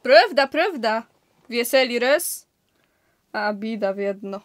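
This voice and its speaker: A woman's voice: fairly high pitch, lively intonation, takes it slowly. A young woman with a Kashubian accent acting a happy village bride-to-be in an amateur student radio play. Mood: happy, chatty. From Poland. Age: young adult.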